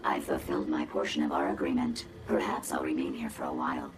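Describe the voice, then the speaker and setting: Very low-pitched, haughty robot woman. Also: fast, slight background noise, low-quality recording. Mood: condescending. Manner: sardonic, pompous, precise, wordy. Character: haughty, condescending female robot with murderous cold logic. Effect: robotic voice filter. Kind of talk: video game cutscene acting.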